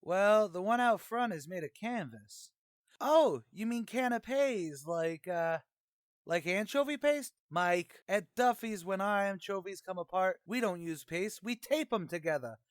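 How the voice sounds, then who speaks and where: Guy doing a slangy wisecracking tavern boss, pretty high voice, old radio comedy style, dry close sound. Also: expressive, a little slow, no room sound. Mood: blustering salesman. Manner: wisecracking, streetwise slangy talk.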